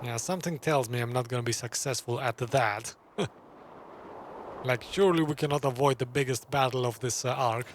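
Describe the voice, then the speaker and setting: A man's voice: medium pitch, moderate pace, noisy background. A man giving casual gameplay commentary as a gaming streamer.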